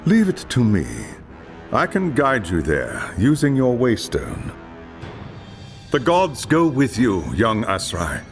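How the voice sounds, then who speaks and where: A man playing a wise, grave elven lore master in a video game, measured and portentous. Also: medium pitch, huge pitch swings, faint music behind. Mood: solemn guidance. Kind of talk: dramatic video game acting.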